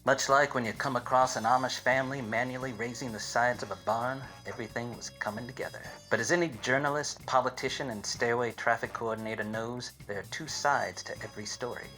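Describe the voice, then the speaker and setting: An actor playing a self-serious, obtuse private eye in a parody of 1940s detective radio plays, with melodramatic hard-boiled delivery. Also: male voice, mid-range voice, fairly even intonation, a little fast, hardly any pauses, bright, forceful tone, natural voice, rough recording. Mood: brooding. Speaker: narrator and lead of a radio play. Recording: music under the voice.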